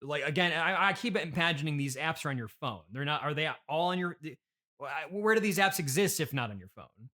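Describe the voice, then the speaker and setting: A man hosting a comedy interview podcast, speaking in a polite, halting, puzzled deadpan with restarted sentences. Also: a little high.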